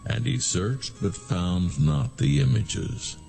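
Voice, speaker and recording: A man narrating scripture from a script in a measured, solemn manner. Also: mid-range voice, expressive, creaky, a little mellow, soft background music.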